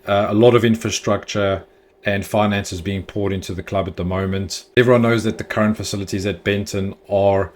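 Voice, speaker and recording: A man hosting a football fan channel, giving chatty, opinionated news commentary with the odd filler. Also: fairly low pitch.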